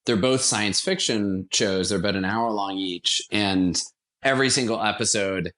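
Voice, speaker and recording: Guy with a lowish voice chatting on a podcast, really into it and emphatic. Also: moderate pace, fairly bright tone. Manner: enthusiastic, emphatic. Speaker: podcast guest, theater founder. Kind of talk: casual conversational interview.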